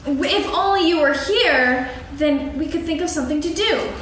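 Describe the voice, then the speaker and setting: A woman playing a small, eager, childlike animal with an excitable manner in a staged reading of a children's play. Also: high-pitched, moderate pace, a little hiss or hum. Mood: anxious, hopeful. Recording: echoey hall recorded from far off.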